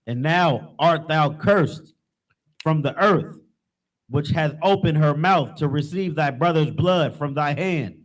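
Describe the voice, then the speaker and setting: A man reading scripture verses aloud in a steady, plain way. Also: a little high, slow, low-quality recording. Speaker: scripture reader.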